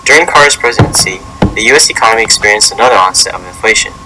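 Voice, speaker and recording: A man reading a scripted educational narration in a halting way, stumbling over words now and then. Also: lowish voice, lively intonation, noisy background. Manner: halting read-aloud delivery with stumbles.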